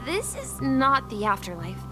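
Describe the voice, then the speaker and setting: A woman playing a gentle, polite, thoughtful young female guide in a video game. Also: high voice, wildly swooping pitch, measured pace, full character voice. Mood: reassuring, concerned. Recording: game music under the voice.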